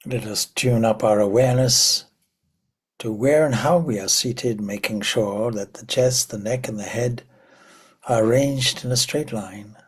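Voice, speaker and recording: A man leading a guided meditation in a slow, prayerful way, letting phrases trail off into soft whispers. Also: mid-range voice, slightly rough. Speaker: meditation teacher.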